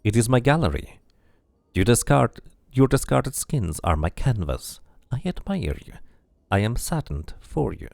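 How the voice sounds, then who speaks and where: A man's voice: mid-range voice, big pitch swings, unhurried, gravelly, a little mellow, no echo. A man reading as a grave, cryptic dabus tattooist. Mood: solemn. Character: solemn male dabus tattooist, speaking in symbols.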